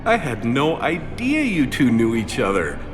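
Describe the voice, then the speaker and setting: A man playing an eager, bumbling, apologetic fan of a space commander in a video game, excitable and easily flustered. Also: medium pitch, measured pace, music in the background. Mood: flustered, helpful.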